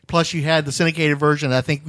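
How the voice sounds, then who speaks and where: A man's voice: a little high, fairly even intonation, fast talker, fairly bright tone, dry recording, studio quality. A man hosting a casual comic-book chat, explaining things in knowledgeable detail. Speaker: podcast host interviewing a friend.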